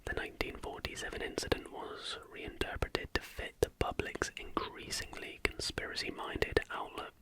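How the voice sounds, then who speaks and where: Whispered ASMR narrator. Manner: unhurried, soft whispering throughout. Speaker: host.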